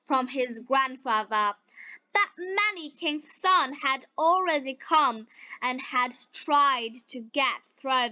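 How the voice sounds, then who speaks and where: A woman narrates a fairy tale at an extremely slow pace, pausing between short, deliberate phrases. Her voice is fairly high and clearly bright, as if pitched for learners following along. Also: dry recording, lo-fi sound. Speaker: storyteller for English learners.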